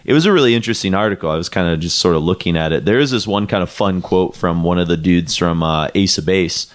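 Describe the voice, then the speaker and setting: A very low-pitched man talks through a music podcast in a loose, rambling way. He leans on "like" and "you know," stops and restarts, then settles into a thought. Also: fast talker. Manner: rambling, many fillers and restarts; reads quotes aloud. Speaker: podcast co-host. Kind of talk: casual chat about music.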